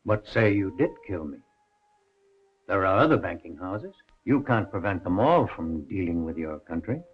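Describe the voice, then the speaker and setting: Male banker, 1930s crime drama: nervous, polite, animated low voice, dull soundtrack with faint music. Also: moderate pace. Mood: uneasy. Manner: hesitant, courteous.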